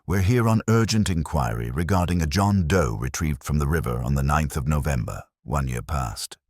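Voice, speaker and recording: A man voicing a brisk Victorian detective in a terse, formal, probing way for a storybook. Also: very deep voice, animated, darkish tone, no echo, studio quality. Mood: probing, intent.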